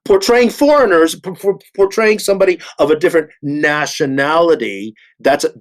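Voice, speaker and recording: A man of sixty speaking earnestly in a panel discussion, halting and repeating words for emphasis. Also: fairly high pitch, animated. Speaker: actor on a panel.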